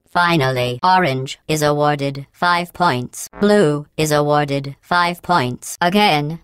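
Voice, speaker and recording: A cold female facility AI in a video game, her tone low and perfectly smooth. Every line comes out clipped and deadpan, sarcastic and quietly menacing, recorded close and completely dry. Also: no echo. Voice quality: smooth, synthetic-sounding, clipped. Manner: deadpan, passive-aggressive, coolly menacing.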